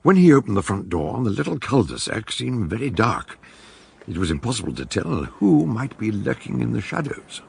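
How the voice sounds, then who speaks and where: An actor playing a solicitous, well-spoken British doctor who narrates a radio drama in a formal, expressive manner, with a gravelly, husky voice. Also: male voice, on the low side, moderate pace, full character voice. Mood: measured storytelling. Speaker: narrator and supporting character.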